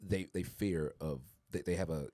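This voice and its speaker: A man's voice: low voice, normal speed, mellow, no echo, studio quality. A man co-hosting a podcast, talking in an earnest, reflective way that builds to emphatic urging. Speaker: podcast co-host. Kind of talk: casual podcast conversation.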